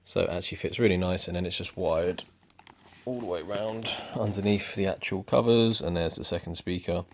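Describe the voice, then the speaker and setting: A young man giving a relaxed, rambling product review at home, with lots of ums. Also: low-pitched, a little fast, a little hiss or hum. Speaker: motorcycle vlogger. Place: indoors at home.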